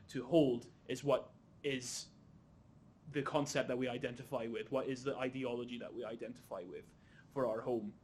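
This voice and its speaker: A young man with a slight Scottish accent moderating a panel discussion, speaking in measured, formal phrasing with repeated intensifiers. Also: mid-range voice, slight background noise. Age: young adult.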